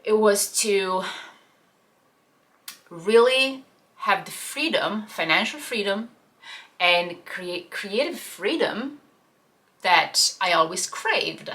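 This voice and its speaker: A woman's voice: mid-range voice, expressive, slow, pauses often, sharp tone. A woman in her thirties from Italy, speaking English with an Italian accent as a second language, earnestly telling her personal story to camera with many fillers and restarts. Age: 30s. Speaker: life and business coach.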